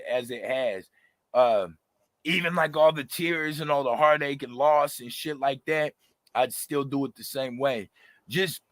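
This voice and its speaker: Raspy man in his thirties, animated and passionate, bright edge, dry close sound. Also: a little high, no room sound. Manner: animated, passionate, slangy, lots of fillers. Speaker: rapper, interview guest. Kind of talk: casual interview talk.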